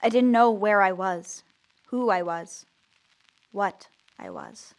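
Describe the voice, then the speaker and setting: A woman's voice: mid-range voice, slow. A teenage zombie girl, acted by a woman, telling her own story in an audio drama. She is wry and dramatic as narrator, bewildered as a newcomer, in a mid-range female voice. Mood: wry storytelling. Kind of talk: scripted first-person audio drama narration.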